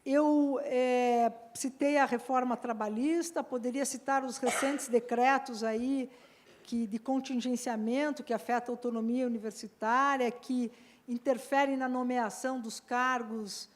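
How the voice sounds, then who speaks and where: A woman delivers an academic law talk in a high voice. She is earnest and lifts her voice on the points she wants to stress. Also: fairly even intonation, unhurried. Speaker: law professor and panel speaker. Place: seminar hall.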